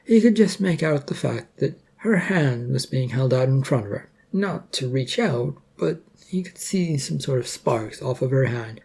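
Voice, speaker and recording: A man narrates a scripted story in a dark, relaxed voice of middling pitch. There is plenty of creak in it and a husky, unpolished grain.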